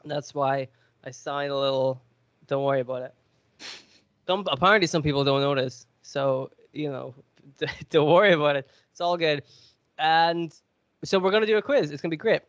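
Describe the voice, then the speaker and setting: A man's voice: on the high side, moderate pace, fairly bright tone, no room sound, highs cut off. A man with a cold, sniffly, chatting and joking as he hosts a podcast quiz. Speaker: podcast co-host. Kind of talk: casual podcast chat.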